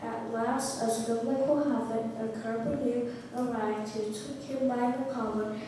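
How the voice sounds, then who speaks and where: A woman's voice: fairly high pitch, fairly even intonation, measured pace, mellow. A woman narrating a storybook tale aloud for a puppet show in a big, echoey room. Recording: very echoey hall, far mic, faint noise.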